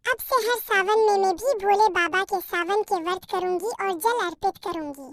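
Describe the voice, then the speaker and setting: A woman's voice: very high-pitched, moderate pace. A woman voicing an older mother-in-law bird in a cartoon, motherly and emphatic. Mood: remorseful. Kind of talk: Hindi moral-story cartoon dialogue.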